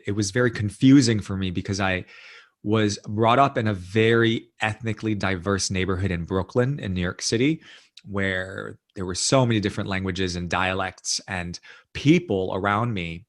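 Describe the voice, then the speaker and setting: A man from the United States, a guest author, recounting his life in a thoughtful, measured interview, often adding "you know" and "right?". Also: lowish voice. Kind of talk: reflective storytelling in interview.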